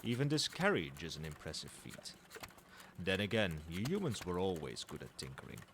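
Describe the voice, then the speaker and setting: A man's voice: low voice, big pitch swings, moderate pace, noisy background. A man playing a proud old dragon in human form in an ASMR roleplay, speaking in formal, lofty and teasing phrases, with fondness. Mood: amused, lofty.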